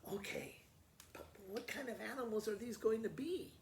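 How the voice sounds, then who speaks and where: A woman's voice: fairly high pitch, normal speed, a little mellow, far from the mic, natural voice. A woman voicing a sweet, timid, obedient young farm girl in a storybook, hesitant and repeating herself. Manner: hesitant, repetitive. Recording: roomy echo with faint background noise.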